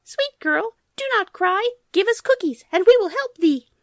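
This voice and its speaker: A woman voicing a group of tiny, helpful mice in a high, squeaky voice. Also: animated, normal speed, no echo, studio quality. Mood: pleading.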